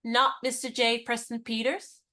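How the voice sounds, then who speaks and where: A young woman of Edwardian London in a radio play. Her voice is high, and her manner is cool and composed, with an inquisitive edge. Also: little pitch movement, a little slow, pushed and bright. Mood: curious, brisk.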